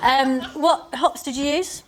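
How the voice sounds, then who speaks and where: A woman's voice: high-pitched, a little slow, a bit bright, slight background noise. A woman chatting casually and comically as a panellist on a panel show.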